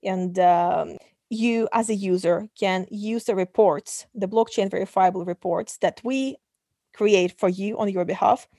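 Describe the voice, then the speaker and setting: Woman from Kazakhstan, young adult, interview guest, explains step by step, lots of fillers, dry close sound, mid pitch. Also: measured pace, dark tone, no echo. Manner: explanatory, many ums and uhs. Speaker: startup CEO guest. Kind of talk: interview answers.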